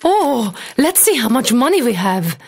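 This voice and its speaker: A woman's voice: on the high side, sing-song, a little slow, darkish tone, slightly rough, full character voice. A woman playing a practical, curious wife in a cartoon fairy tale. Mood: eager, practical.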